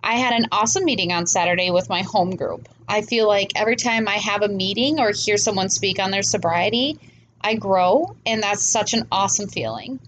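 A woman's voice: medium pitch, fairly quick, fairly bright tone, slight background noise, low-quality recording. Stuffy-nosed woman hosting a chatty podcast. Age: adult parent. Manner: earnest, chatty, laughs at herself. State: stuffy from a cold. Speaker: podcast host. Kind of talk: casual solo podcast talk.

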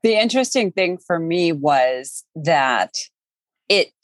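A woman hosting a podcast, chatting in a calm, reflective way. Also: low voice, slow pace, no room sound. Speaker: podcast host. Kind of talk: casual chat.

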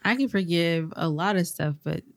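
A woman's voice: on the low side, normal speed. Bubbly woman podcast co-host. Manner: bubbly, laughs often. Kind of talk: casual chat.

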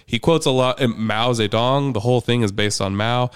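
A man's voice: mid-range voice, moderate pace, smooth, dry recording, studio quality. A man talking candidly and conversationally as a guest, recounting his own experiences. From United States. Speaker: podcast guest, parent activist.

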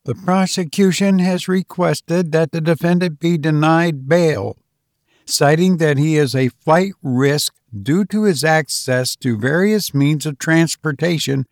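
A man's voice: a little high, dry recording, clean studio sound, voice pushed up. A man voicing a stern, formal female judge in an audiobook courtroom scene. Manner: formal, deliberate.

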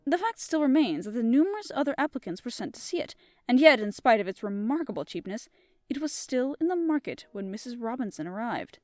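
Formal fussy old detective played by a woman, deliberate delivery, lively pitch, dry recording. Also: dry recording. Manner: deliberate and formal, attempting a French accent. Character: fussy, precise older male Belgian detective.

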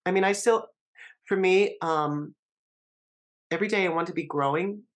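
A woman speaking as a guest in a casual conversation, thoughtful and measured. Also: on the low side. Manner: thoughtful, measured, open about voice.

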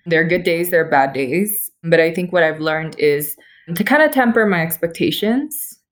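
A woman's voice: lowish voice, expressive, moderate pace. A young woman, an architect and interview guest, telling her story casually with many "like"s over a remote call.

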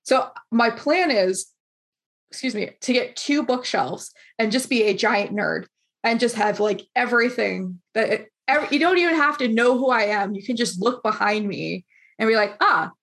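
A woman in her late twenties hosts a casual interview over a video call. She talks in a slightly high voice, chatty and animated. She keeps acting out the lines of people in her stories. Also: normal speed. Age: 20s.

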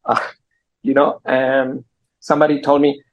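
A man in his sixties with an Argentine Spanish accent, a guest entrepreneur on a video call, telling his story earnestly with halting restarts. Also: mid-range voice, flat delivery, low-quality recording. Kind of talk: storytelling interview. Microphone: computer mic on a video call.

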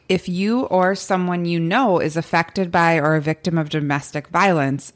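A woman co-hosting a podcast, chatting casually with wry, deadpan asides. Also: sits low. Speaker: podcast co-host. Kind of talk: casual chat.

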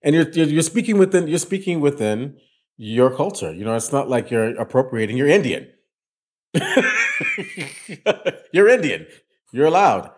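A man's voice: medium pitch, sing-song, normal speed, darkish tone. A man hosting an educational podcast, talking with enthusiasm and often repeating himself. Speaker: podcast host, voice teacher. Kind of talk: casual podcast chat.

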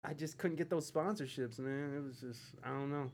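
A man's voice: a little high, brisk, darkish tone. A man in a casual livestream chat, speaking in a hesitant, rambling, self-deprecating way as he interviews. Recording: faint background noise.

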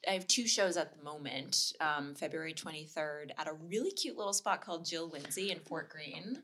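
A woman's voice: low-pitched, moderate pace, fairly bright tone. A woman chatting informally and a little hesitantly as a comedy guest on a podcast. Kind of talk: casual podcast chat.

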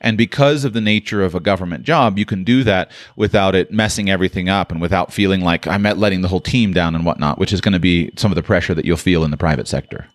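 Low-voiced guy hosting a call-in show, lots of um and restarts, dry close sound. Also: quick pace, few pauses, no room sound. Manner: thinks aloud with many restarts and fillers. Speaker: radio show host.

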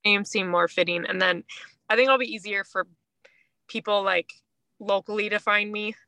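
Bright-voiced woman in casual podcast chat. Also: medium pitch, steady tone, moderate pace, creaky. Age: 30s. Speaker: podcast co-host.

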